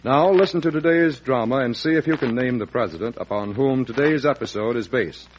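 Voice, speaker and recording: A man's voice: mid-range voice, fairly quick. A man announcing a vintage radio show from a script in a formal, declamatory manner. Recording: muffled, faintly noisy old radio recording.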